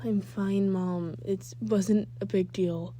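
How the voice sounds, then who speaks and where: A woman's voice: medium pitch, steady tone, takes it slowly, warm tone, a little hiss or hum, close to an everyday voice. A woman voicing a defensive teenage boy in a story, terse and dismissive. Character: teenage boy, defensive and irritated with his parents.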